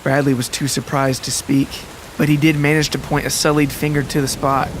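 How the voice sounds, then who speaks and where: A man reading a scripted literary story as a dramatic narrator, with deliberate, descriptive phrasing. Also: medium pitch, little pitch movement, noisy background. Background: music and rain sounds.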